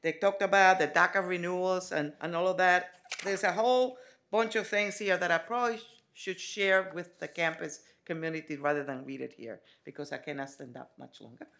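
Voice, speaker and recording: Woman from the dominican republic, college president talking at a forum, gravelly voice, lots of uh and um, big meeting room. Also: on the low side, fairly even intonation, a bit bright. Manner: halting, with many fillers and restarts. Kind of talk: forum address.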